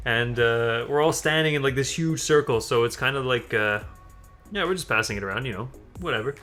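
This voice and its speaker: A man's voice: mid-range voice, a little fast, sharp tone. Young man telling stories over background music. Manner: rambling, amused, casual swearing. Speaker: gaming channel co-host. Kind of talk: casual storytelling chat over gameplay.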